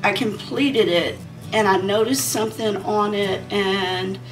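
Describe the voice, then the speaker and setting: A woman in her forties, a beauty vlogger, chatting casually and rambling while she does her makeup, her voice a bit hoarse from a sinus issue. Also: medium pitch, steady tone, measured pace, recorded from a distance, music in the background, low-quality recording. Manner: rambling and conversational, talking while applying makeup.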